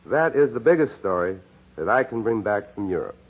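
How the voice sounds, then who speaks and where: An American man giving an earnest, scripted oratorical radio address as a war correspondent, speaking in measured, emphatic phrases. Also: lowish voice, animated. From United States. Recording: muffled, narrow-band 1940s radio broadcast.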